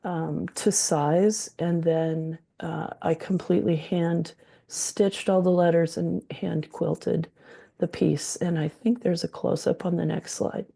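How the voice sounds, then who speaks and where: An older woman speaks with a hoarse, gravelly rasp and a dark, mellow tone. She talks slowly and unhurriedly, presenting her quilts with frequent ums. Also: lowish voice, steady tone. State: hoarse from the flu. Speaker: textile artist presenting her work.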